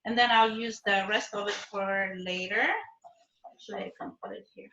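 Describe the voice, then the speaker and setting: A woman's voice: mid-range voice, steady tone, moderate pace, reverberant, highs cut off, noisy background. A woman from Ethiopia and Eritrea teaching a live online cooking class from her kitchen, friendly and patient, often checking in with her students. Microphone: video call.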